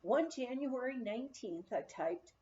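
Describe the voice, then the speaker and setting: Woman narrating a memoir, kinda high lively voice, mellow tone, slightly muffled recording. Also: unhurried. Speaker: audiobook reader.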